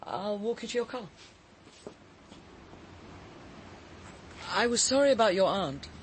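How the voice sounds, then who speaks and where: A woman's voice: medium pitch, lively intonation, fast talker, a little hiss or hum, natural voice. An actress playing a poised, sociable countrywoman in a radio drama, speaking politely and articulately with slight hesitations in a British accent. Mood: courteous. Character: poised, sociable, frank countrywoman, a writer and cook.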